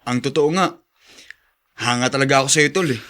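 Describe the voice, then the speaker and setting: An actor playing a supportive young buddy in a radio drama, casual and familiar. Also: male voice, medium pitch, brisk, bright, forceful tone, close to an everyday voice. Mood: admiring, supportive. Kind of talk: Filipino radio drama acting.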